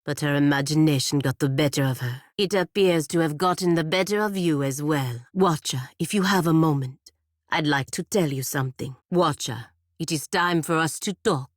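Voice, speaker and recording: Woman voicing a proud godlike warrior lady from a video game, deep voice, stern n blunt, kinda disdainful, very dry sound. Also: normal speed, dry recording, natural voice. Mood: cool, measured. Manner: formal, blunt, haughty and disdainful. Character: proud, stern godlike female warrior serving her republic. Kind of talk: scripted video game character dialogue.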